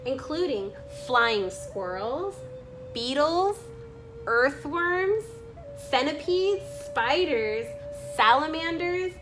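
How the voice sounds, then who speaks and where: A woman with a slightly high voice narrates a nonfiction nature book. She reads very slowly and deliberately, phrase by phrase, as a read-aloud. Music plays clearly behind her. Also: poor audio. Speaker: reader reading a children's nonfiction book aloud.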